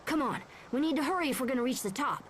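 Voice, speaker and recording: High-pitched plucky young boy hero. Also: expressive, very fast, a little hiss or hum. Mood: friendly, encouraging. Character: brave, protective, cocky young boy hero. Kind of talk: video game voice acting.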